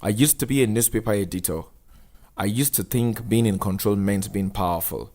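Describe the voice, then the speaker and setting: A man's voice: fairly low pitch, brisk, a little mellow, natural voice. A man playing a remorseful former newspaper editor in a drama, speaking in a solemn, confessional way.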